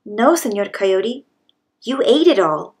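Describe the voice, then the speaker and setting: A woman's voice: mid-range voice, sing-song, slow, darkish tone. A woman voicing a small, earnest, polite young male rabbit in a children's storybook. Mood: apologetic. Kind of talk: children's storybook read-aloud.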